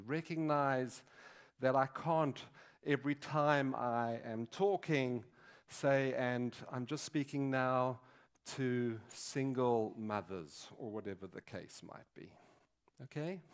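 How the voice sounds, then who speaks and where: A man in his sixties preaching a sermon in a deliberate, emphatic way, pausing between short phrases. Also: medium pitch. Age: 60s. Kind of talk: sermon with statistics and teaching. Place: large dim auditorium stage at a lectern.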